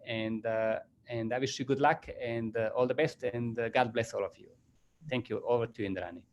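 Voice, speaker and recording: A man's voice: medium pitch, steady tone, fairly quick, muffled recording. A middle-aged man with a Croatian accent, founder of a business school, giving a formal welcome over a video call; he speaks earnestly and often restarts his phrases. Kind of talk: formal welcome address to a panel.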